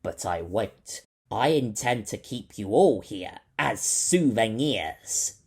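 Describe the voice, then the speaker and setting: A man voicing a masked male creature with a slow, polite but menacing drawl. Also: on the low side, lively intonation, husky, exaggerated voice.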